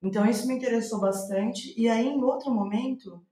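A woman's voice: a little high, a little fast, dark tone. Brazilian woman reflecting in a roomy echo. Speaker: guest playwright. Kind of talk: reflective interview conversation. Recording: roomy, echoing voice recording.

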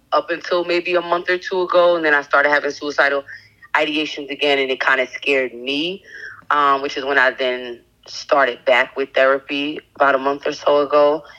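A woman in her thirties, a podcast guest sharing a candid personal testimony in a halting way, with many fillers and restarts. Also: sits low, level tone, pushed and bright, highs cut off. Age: 30s.

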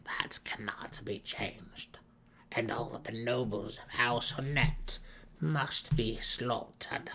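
Withered elder dark elf matriarch played by a male reader: very slow, breathy near-whisper, sly, imperious, fantasy audiobook. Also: mid-range voice, full character voice. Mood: calm, menacing. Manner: measured, drawn-out, mysterious delivery.

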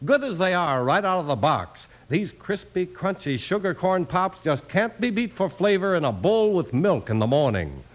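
A man's voice: sits high, very animated, moderate pace, hardly any pauses. An American man announcing and narrating in an emphatic, theatrical 1950s radio style. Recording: old radio broadcast. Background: music.

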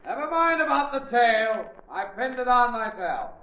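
A blustering middle-aged father in 1940s radio comedy style. His high voice hollers impatiently. The recording is echoey and muffled. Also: big pitch swings, normal speed, slight background noise, full character voice. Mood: hollering. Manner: loud, demanding, hollering.

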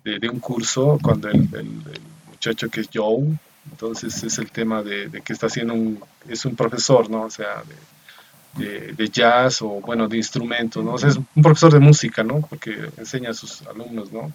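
A man's voice: medium pitch, lively intonation, normal speed, vocal fry, darkish tone, husky, low-quality recording. Rambling Bolivian man chatting about a film. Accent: Bolivian Spanish. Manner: rambling, many fillers and tag questions. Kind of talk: casual film review chat.

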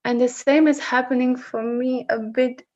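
A woman from the Netherlands with a Dutch accent, sharing personal reflections on a video call in a slow, searching way with frequent restarts. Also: high voice, fairly even intonation, darkish tone, muffled recording, soft background music. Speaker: session facilitator.

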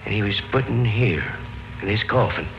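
An actor in an old radio drama playing a superstitious rural caretaker, telling things in a halting, folksy, ominous way. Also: male voice, on the low side, creaky, background noise. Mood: haunted, foreboding. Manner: folksy, halting, foreboding storytelling. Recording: muffled vintage radio recording, music behind.

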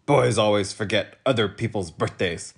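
A man voicing a short, fierce, bossy policeman who shouts accusations, for a storybook reading. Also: lowish voice, moderate pace, creaky, husky. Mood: bossy, shouting.

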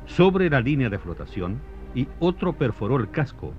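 A man's voice: medium pitch, big pitch swings, moderate pace, natural voice. A man narrating a scripted historical radio drama in a dramatic, declamatory way. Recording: dull lo-fi radio recording with music.

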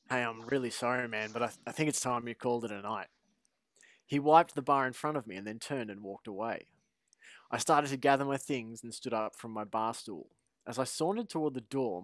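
A man's voice: medium pitch, a bit bright, no echo. Man co-hosting a podcast. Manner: playful, laughs often. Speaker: podcast co-host. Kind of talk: podcast chat and reading aloud.

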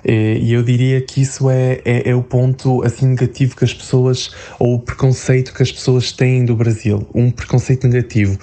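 A man's voice: medium pitch, flat delivery, moderate pace, hardly any pauses, warm tone. A young man from Portugal with a European Portuguese accent, chatting as an interview guest, hesitant with many fillers and restarts. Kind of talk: casual interview chat.

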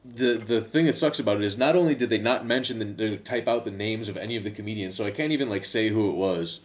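A man's voice: on the low side, quick pace. A young man hosting a casual podcast, rambling with many restarts and casual swearing. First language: English. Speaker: podcast host. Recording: slightly dull lo-fi sound.

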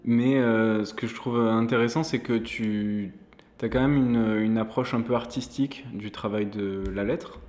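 A man hosting a podcast, asking questions in a relaxed, conversational way with some fillers. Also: lowish voice, unhurried, roomy sound, lo-fi sound. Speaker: podcast host. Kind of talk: podcast interview.

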